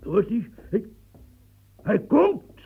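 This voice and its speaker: A proud, restless old marshal in a radio play, his voice pitched high yet gravelly and husky, swinging widely up and down. He stammers and repeats words as his temper rises. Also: big pitch swings, very slow, narrow, tinny audio, heavily acted. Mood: bitter, worked-up. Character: aging embittered male French marshal, proud and restless.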